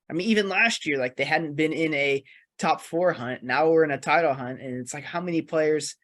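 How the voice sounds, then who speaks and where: A man on a podcast panel talking football casually, reasoning things out in a measured, halting way. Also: a little high, a bit bright.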